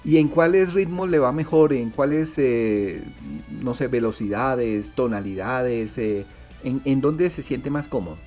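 A man's voice: medium pitch, a little slow, a little mellow, faint music behind. A man with a Colombian accent interviewing a guest on radio, asking long questions and correcting himself mid-sentence. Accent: Colombian Spanish.

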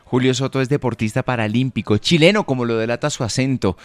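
A man's voice: medium pitch, fast talker, dry recording. A man co-hosting a radio interview, presenting and asking questions in a conversational style.

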